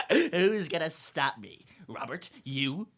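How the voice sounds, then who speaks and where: Casting: smug, cackling comic-book villain for radio comedy, slow and swooping delivery. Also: male voice, on the high side, sing-song, a bit bright, full character voice. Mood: gloating. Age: young adult. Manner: theatrical, drawn-out, breaking into cackles. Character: gleeful cackling supervillain, the Giggler, taunting and smug. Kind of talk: comedic superhero radio serial acting.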